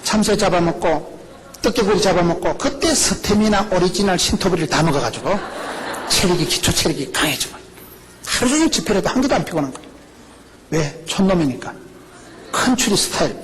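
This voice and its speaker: A middle-aged male pastor with a Gyeongsang accent, preaching a lively, joking sermon full of rhetorical questions. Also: high voice, a little fast, low-quality recording. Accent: Gyeongsang Korean. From rural South Korea. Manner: animated, jokey preaching with rhetorical questions and laughs.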